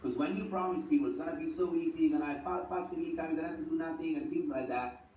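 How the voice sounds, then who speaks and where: A man's voice: a little high, quick pace, a little mellow, reverberant, recorded from a distance, a little hiss or hum, low-quality recording. A man from Italy with an Italian accent giving a motivational talk on network marketing, earnest and direct, often checking in with "right?". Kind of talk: motivational talk, listing tips.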